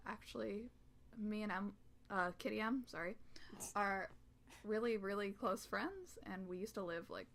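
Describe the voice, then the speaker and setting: A woman's voice: medium pitch, animated, measured pace, creaky, a bit bright. An eighteen-year-old woman from Canada chatting casually with friends, hesitant and full of ums. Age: young adult. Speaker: cover singer. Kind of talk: casual group chat.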